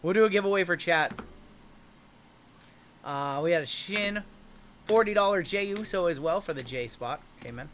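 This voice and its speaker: Hyped live-stream card break host, a man with a high, bright, strained voice calling out cards. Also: animated, normal speed, pauses often, a little hiss or hum. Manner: hyped, joking, calls out cards as they are pulled. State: voice going hoarse from shouting. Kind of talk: livestream card break commentary.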